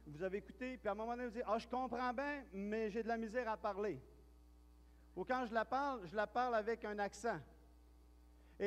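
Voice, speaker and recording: Man, Quebec French accent, pastor, high-pitched, chatty sermon, church hall, long reverb. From Quebec, Canada. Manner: chatty, storytelling, with frequent restarts and repeated words.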